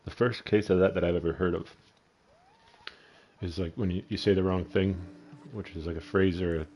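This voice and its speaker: Canadian guy, middle-aged, low dark voice, rambling gameplay host who swears freely. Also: fairly even intonation, normal speed. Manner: rambling and profane. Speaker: gaming video host. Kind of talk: casual gameplay commentary.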